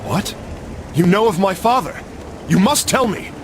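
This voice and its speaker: A man's voice: sits high, lively intonation, measured pace, noisy background. A man playing a bold, proud young Templar warrior in a video game, earnest and declamatory. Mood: urgent. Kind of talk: video game cutscene acting.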